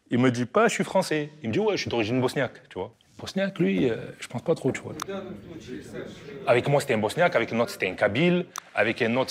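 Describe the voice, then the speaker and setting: A man talking about an old friend in a casual interview, chatty and full of fillers. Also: mid-range voice. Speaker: childhood friend.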